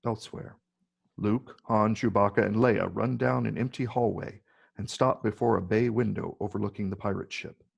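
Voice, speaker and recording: A man reading stage directions as scripted narration in a measured, descriptive way. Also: fairly low pitch, fairly even intonation, vocal fry, husky, dull audio. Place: virtual read, recorded remotely.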